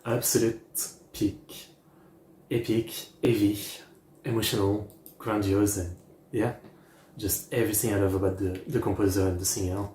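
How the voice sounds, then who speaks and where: Guy with a lowish voice doing a casual reaction stream, short halting phrases, echoey room, music going. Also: fairly even intonation, dark tone, a little hiss or hum. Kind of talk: casual live reaction commentary. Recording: roomy echo, music playing underneath. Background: music from the videos.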